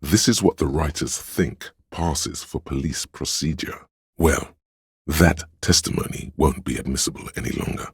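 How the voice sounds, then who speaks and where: Super deep husky game narrator, solemn inner cop instinct, measured and brooding, really raspy. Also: male voice, no room sound, studio quality, full character voice. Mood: stern, dry. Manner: measured, brooding, literary delivery. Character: inner voice of police instinct, solemn, brotherly, watchful. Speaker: video game voice actor, narrator. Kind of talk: scripted second-person game narration.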